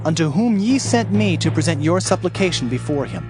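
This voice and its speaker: A man playing a solemn prophet who delivers God's warnings in a dramatized scripture reading, with a measured, grave, declamatory manner. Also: a little high, very animated, music in the background. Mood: solemn, assuring.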